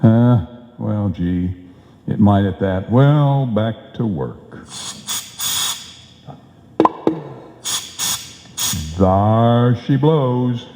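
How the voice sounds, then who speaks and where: A man's voice: lowish voice, extremely slow. A man playing a blustering, folksy husband and tall-tale-telling bumbler in an old-time radio comedy, with slangy, exclamatory talk. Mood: grumbling. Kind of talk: old-time radio comedy acting before a live audience. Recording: dull, echoey live hall recording.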